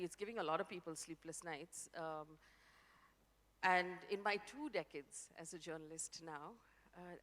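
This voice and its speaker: A woman in her forties with an Indian English accent, a journalist speaking on stage, articulate and measured. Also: medium pitch, a bit bright. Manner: articulate, measured, light laughs. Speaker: journalist guest. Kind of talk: conference fireside chat. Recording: reverberant conference hall.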